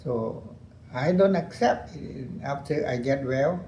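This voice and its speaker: An older man with a Lao accent, a retired colonel recounting his war years in halting English, repeating phrases and laughing often. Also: mid-range voice, lively intonation, mellow, echoey room, highs cut off, a little hiss or hum. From Laos. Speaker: interview guest, veteran colonel.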